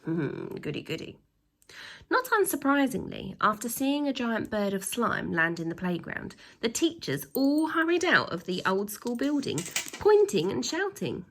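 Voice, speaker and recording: A woman reading a children's story aloud with dramatic emphasis, pausing to put questions to her young listeners. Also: fairly high pitch, big pitch swings, fairly bright tone. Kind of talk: expressive storytime narration with asides to children.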